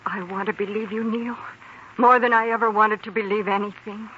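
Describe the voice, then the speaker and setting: An actress playing an anxious, earnest young fiancée in a 1940s radio melodrama, on a muffled, hissy old recording. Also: female voice, medium pitch, fairly even intonation, a little fast, bright, forceful tone, lightly acted. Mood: anxious, pleading.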